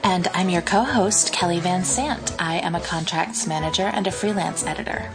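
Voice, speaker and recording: A woman co-hosts a podcast in a casual conversational tone, her voice sitting notably low. She works through her explanations thoughtfully, often circling back and restarting her phrases. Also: moderate pace, music in the background. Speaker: podcast co-host.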